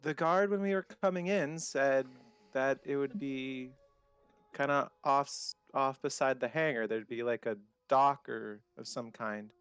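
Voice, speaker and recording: A man's voice: mid-range voice, measured pace, fairly bright tone, clear, resonant tone, no echo. A man chatting casually as a player in an online tabletop role-playing game. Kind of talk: casual chat. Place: online group call.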